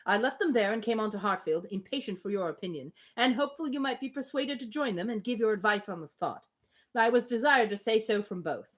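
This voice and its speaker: A woman reading the part of an eager, courteous young gentleman in a period novel, formal and persuasive. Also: mid-range voice, close to an everyday voice.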